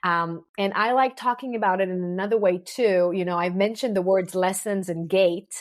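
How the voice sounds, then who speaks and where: A woman's voice: mid-range voice, steady tone, normal speed. Earnest Israeli woman explaining ideas. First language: Hebrew. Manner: earnest, teaching with analogies, frequent restarts and "right?". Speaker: podcast guest. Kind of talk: explanatory interview talk.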